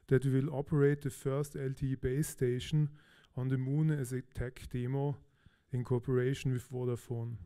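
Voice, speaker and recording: A man from Austria giving a technical talk on stage at a conference, relaxed and a little hesitant, with fillers. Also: medium pitch, smooth. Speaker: conference speaker. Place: large conference hall.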